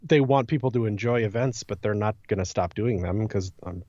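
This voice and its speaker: A man's voice: lowish voice, fairly quick, darkish tone, no room sound, dull audio. A man co-hosting a podcast, chatting casually about a game and repeating phrases for emphasis. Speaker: podcast co-host.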